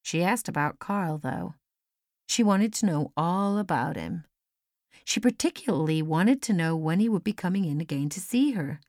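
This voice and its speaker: A woman's voice: lowish voice, animated, normal speed, darkish tone, dry recording, clean studio sound. A woman reading a first-person story as scripted audiobook narration. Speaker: audiobook reader. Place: dry studio.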